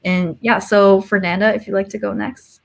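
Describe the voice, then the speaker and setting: A woman's voice: medium pitch, level tone, normal speed, creaky. A young woman from China introducing herself on an online panel, friendly with fillers.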